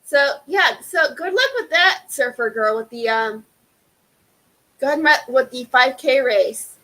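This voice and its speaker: A woman from Illinois with a Midwestern American accent, chatting casually and haltingly as a livestream co-host. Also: sits high, animated, pushed and bright, poor audio.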